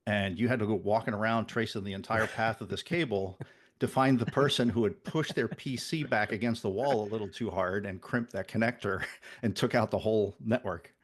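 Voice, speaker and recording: A man in his fifties with a deep, low voice, originally from the Netherlands, chatting as a podcast guest. He rambles through his stories with frequent restarts and wry asides about himself. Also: a little fast. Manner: rambling, many restarts and self-deprecating asides. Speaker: podcast guest, network engineer. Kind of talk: casual interview chat.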